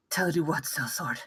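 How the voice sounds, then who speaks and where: Woman acting a husky, dying, bitter warrior monk. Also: low voice, fairly bright tone, no echo, studio quality, exaggerated voice. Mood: mocking, pained. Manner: weary, scornful, broken by coughs and gasps. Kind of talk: dramatic script table read.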